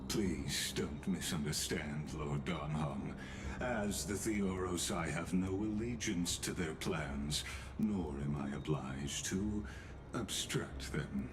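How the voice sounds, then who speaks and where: A man voicing a courteous, enigmatic scholar in a video game, speaking in a formal, deferential and measured manner. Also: very deep voice, background noise. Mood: guarded, persuasive. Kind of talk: scripted video game voice acting.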